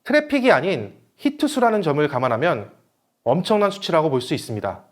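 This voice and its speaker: Male seminar presenter, high register, animated intonation, formal scripted technical delivery.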